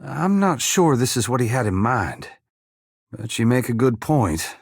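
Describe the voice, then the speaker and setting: Fantasy game saint: humble undead farmhand, weary and earnest, mid-pitched creaky rasp, plain country phrasing with solemn flourishes. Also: male voice, very animated, measured pace, dry recording, studio quality, heavily acted. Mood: puzzled, guarded. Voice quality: gravelly, husky. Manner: plain-spoken rustic phrasing mixed with solemn archaic oratory. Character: humble dead farmer-saint, once god-touched, wry, earnest and weary. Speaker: video game character. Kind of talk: dramatic fantasy game dialogue.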